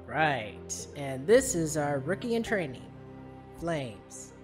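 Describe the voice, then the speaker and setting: A man's voice: a little high, sing-song, slow, over music. A man voicing a polite, earnest pony agent with formal, courteous delivery in an audio drama.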